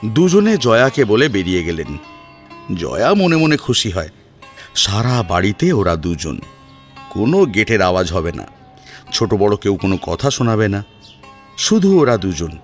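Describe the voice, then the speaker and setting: A man's voice: lowish voice, big pitch swings, measured pace, long pauses, faint music behind. A man narrating a dramatised family story in a scripted storytelling style.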